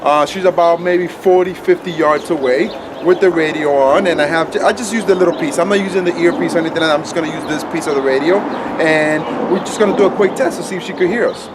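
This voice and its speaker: A man's voice: on the high side, fast talker, heavy background noise. A man casually presenting a gadget review in an easygoing, explanatory way. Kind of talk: casual hands-on review chat.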